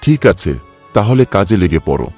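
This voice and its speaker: A man's voice: deep voice, sing-song, brisk, a little mellow, husky, soft background music, exaggerated voice. A man playing a stingy, scornful village contractor in a cartoon story, talking in a bossy, condescending way. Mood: smug.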